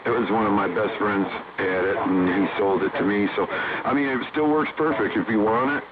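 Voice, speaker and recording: A man's voice: fairly low pitch, normal speed. A man chatting casually over CB radio as an operator, rambling and slangy with the odd swear word. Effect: heard over CB sideband radio. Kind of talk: casual on-air radio chat. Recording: noisy, narrow-band CB radio transmission.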